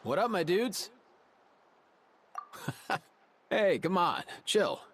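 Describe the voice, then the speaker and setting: Lively, slangy young dude vet. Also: male voice, sits high, very slow, a little hiss or hum, heavily acted. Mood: breezy, admiring. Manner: slangy, breezy dude talk. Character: laid-back, friendly young male veterinarian, surfer-dude type. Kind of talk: video game character dialogue.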